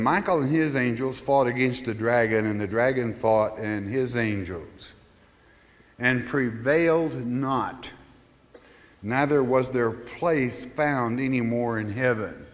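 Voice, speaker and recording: A man's voice: medium pitch, unhurried, long reverb tail. A male pastor teaching a Bible study in a conversational way, reading scripture aloud and putting questions to his listeners.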